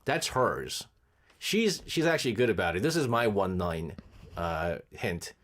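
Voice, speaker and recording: A man hosting a radio show in casual chat, animated and laughing often. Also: measured pace. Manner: animated, laughs often, swings between high and low. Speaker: radio host.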